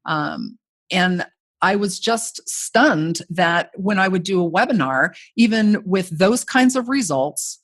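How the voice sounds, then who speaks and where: A woman's voice: fairly low pitch, animated, takes it slowly, no room sound, studio quality. Chatty woman with a radio voice. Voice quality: voice people call made for radio. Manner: chatty, self-deprecating, frequent "you know". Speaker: guest, course creator. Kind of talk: casual podcast interview.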